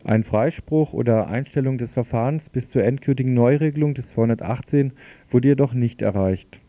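A man's voice: medium pitch, brisk, dark tone, dry recording. Male radio news presenter, dry lo-fi sound. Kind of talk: scripted radio news report. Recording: slightly dull lo-fi radio recording.

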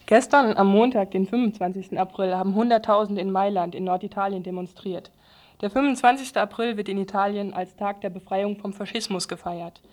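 A woman with a medium-pitched voice presents radio news. She reads prepared items in a studio. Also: a little fast, a little mellow. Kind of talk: scripted radio news reading.

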